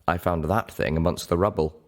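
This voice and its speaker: Man reading as a low-voiced, thoughtful unicorn mare. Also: steady tone, a little fast. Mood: matter-of-fact, wary. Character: grey female unicorn, guarded, thoughtful storyteller.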